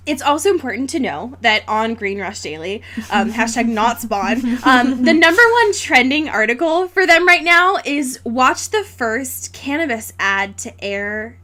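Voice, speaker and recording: A woman's voice: fairly high pitch, bright, forceful tone. A woman co-hosting a podcast in casual comedic chat, sarcastic and sweary, often talking through giggles. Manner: sweary, sarcastic, dramatic exaggeration. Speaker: podcast co-host.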